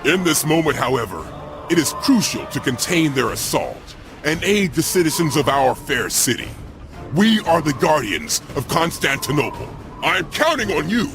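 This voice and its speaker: An actor playing a proud, stern medieval guard captain with a solemn, commanding delivery in a video game cutscene. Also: male voice, fairly high pitch. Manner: commanding, solemn, declamatory oratory. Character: proud stern Varangian guard captain defending his city.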